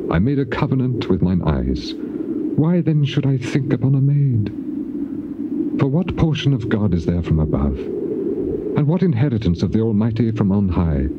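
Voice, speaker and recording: On a dull, hissy old tape, a grieving, righteous man of ancient scripture. His solemn, declamatory voice sits low and swings widely in pitch as he laments and protests his suffering with classical stage intensity. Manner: solemn, declamatory, impassioned rhetorical delivery. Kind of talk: dramatized scripture reading, classical stage acting. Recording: dull, noisy old cassette tape recording.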